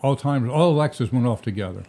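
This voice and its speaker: A man co-hosting a live painting stream, running the timer and giveaway in a relaxed, joking way. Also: mid-range voice, fast. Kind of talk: casual live-stream chat.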